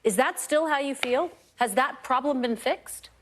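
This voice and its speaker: A woman anchoring a television news show, with crisp, brisk broadcast delivery as she interviews and introduces segments. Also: high-pitched, a bit bright.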